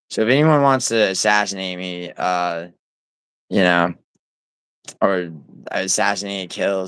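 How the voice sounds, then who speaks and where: A deep-voiced man chats loosely to his livestream viewers. His low tone stays smooth and clean. He rambles, swears often and trips over his own restarts, all on a dry, close sound. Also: a bit bright, no room sound. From New York City. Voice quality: nasal. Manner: rambling, profane, full of fillers and restarts. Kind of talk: casual livestream chat, reading viewer comments.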